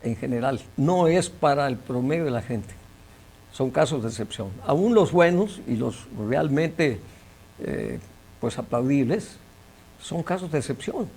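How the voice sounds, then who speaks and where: An older man with a Mexican Spanish accent, an engineer being interviewed, speaking in a measured, emphatic way and repeating words as he searches for them. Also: mid-range voice, animated, creaky, darkish tone, breathy edge. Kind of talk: conversational interview.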